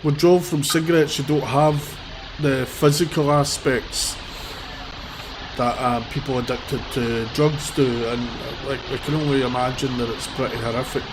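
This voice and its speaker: Guy with a cold rambling bluntly on a phone livestream, mid-pitched, loud traffic noise behind. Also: steady tone, moderate pace. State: sniffly with a cold. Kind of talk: live street commentary. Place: outdoors on a city street. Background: traffic and vehicles.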